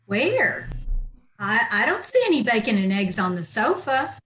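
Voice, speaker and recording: A woman playing a wry, quick-witted schoolteacher in old-time radio comedy style, with dry wisecracking delivery. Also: a little high, sing-song, fairly bright tone. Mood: drily bemused. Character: wry, quick-witted female high school English teacher. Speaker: amateur radio troupe actor. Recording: roomy, echoey home video-call audio.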